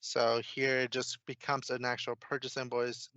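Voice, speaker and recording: Even-toned man giving a software walkthrough. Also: mid-range voice, moderate pace, no echo, highs cut off. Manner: informal, explanatory, step by step. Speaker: software consultant, demo presenter. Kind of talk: casual screen-share software walkthrough.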